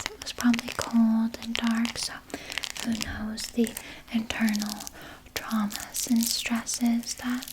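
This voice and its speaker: A woman voicing a sly, doting vampire nurse in a breathy, soothing whisper for an ASMR roleplay. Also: on the high side, background noise. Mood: soothing, reassuring. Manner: slow, soothing, coaxing, softly articulated. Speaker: ASMR performer.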